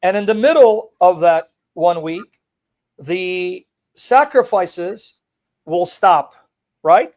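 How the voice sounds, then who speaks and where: A man with a fairly high voice hosts a casual call-in debate. He talks bluntly and teases his caller, wandering off mid-sentence while fiddling with his equipment. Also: lively intonation, takes it slowly, a little mellow. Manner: blunt, teasing, rambling while fumbling with his setup. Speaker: live-stream host debating callers.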